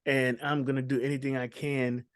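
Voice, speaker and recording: A man's voice: medium pitch, little pitch movement, moderate pace, clear, resonant tone, dry recording, studio quality. A man speaking as a dancehall artist in an interview, talking casually with many ums and restarts.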